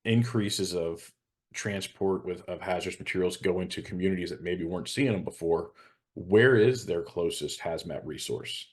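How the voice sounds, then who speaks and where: A man's voice: sits low, normal speed. A man talking as a show host in a casual professional discussion, explaining and giving practical advice. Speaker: hazmat consultant and show host.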